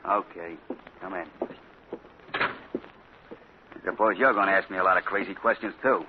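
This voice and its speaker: A surly tough-talking crook, low voice, muffled old radio recording. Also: male voice, fairly even intonation, unhurried. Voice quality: tough slangy street talk. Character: surly shifty male crook posing as a prophet. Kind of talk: 1940s radio acting. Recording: dull scratchy 1940s radio broadcast.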